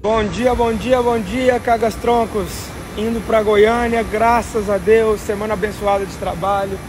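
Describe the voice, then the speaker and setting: A man's voice: high-pitched, steady tone, measured pace, noisy background. A young man filming himself with his phone, giving upbeat greetings while traveling. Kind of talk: casual phone selfie video. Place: outdoors at an airport hangar, then inside a plane. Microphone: phone at arm's length.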